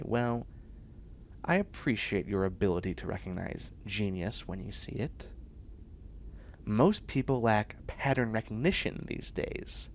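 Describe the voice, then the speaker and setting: Casting: male actor as young male sci-fi science nerd, boastful and snarky, unhurried delivery with gravelly fry. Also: fairly low pitch, a little hiss or hum. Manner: chatty, snarky, boastful, muttering asides and exclamations. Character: nerdy, self-assured young male bioengineering student in sci-fi future. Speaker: voice actor in audio roleplay. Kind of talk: comedic one-sided audio roleplay.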